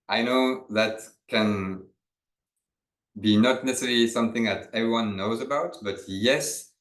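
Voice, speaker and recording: A man's voice: mid-range voice, a bit bright, echoey room, poor audio. A man from France with a French accent, presenting a business webinar in a loose, conversational way with restarts.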